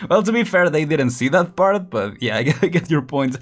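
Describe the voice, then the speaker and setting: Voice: medium pitch, expressive, a little fast. A streamer giving casual let's-play commentary, chatty and laughing often while thinking aloud.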